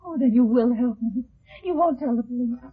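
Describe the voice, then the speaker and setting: An anxious young heiress in a vintage radio mystery. Her voice is husky and melodramatic, catching and stammering as she talks. The old 1950s recording is thin and narrow. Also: fairly high pitch, a little fast. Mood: frightened, pleading. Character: anxious young heiress suspected of murder, pleading. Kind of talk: 1950s radio detective drama acting.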